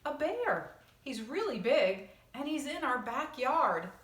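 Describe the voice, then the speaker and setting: Lady doing an excited storybook kid, a boy, loud n high pitched, echoey room. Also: slow pace, a little hiss or hum, exaggerated voice. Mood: excited, astonished. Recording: roomy echo, distant microphone.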